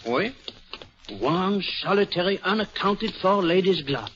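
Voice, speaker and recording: An actor playing a polite, shrewd, meticulous detective with a French accent in an old-time radio drama, speaking deliberately with small hesitations. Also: male voice, high-pitched, expressive, a bit bright, slight background noise. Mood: accusing, assured. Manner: courteous, teasing, deliberate with hesitant "eh" and "uh". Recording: dull old radio recording.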